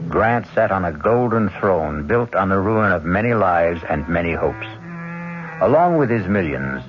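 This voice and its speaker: Male host narrator for vintage suspense radio, low and solemn, music underneath, muffled broadcast sound. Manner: grave, deliberate storytelling. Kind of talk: scripted radio drama narration.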